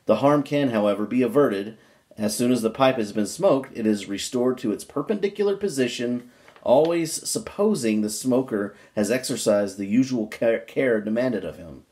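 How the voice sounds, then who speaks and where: A man reads aloud from an old book in an amateur dramatic style, at a middling pitch. He leans on certain words for emphasis. Now and then he drops into a wry, muttered aside of his own. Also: expressive, normal speed, a little mellow.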